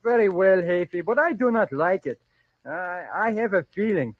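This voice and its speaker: A man's voice: sits high, lively intonation, moderate pace, phone-quality sound. An actor playing an anxious, sour bandit henchman with a Mexican accent, grumbling and pleading in an old radio western. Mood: worried. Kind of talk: 1940s radio western acting. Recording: thin, noisy old radio recording.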